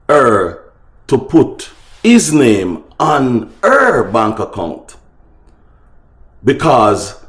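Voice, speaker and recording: Jamaican guy talking to his viewers, repeats stuff for emphasis, lively pitch, roomy echo. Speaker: online talk show host. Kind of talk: casual opinion talk to viewers, in English and patois.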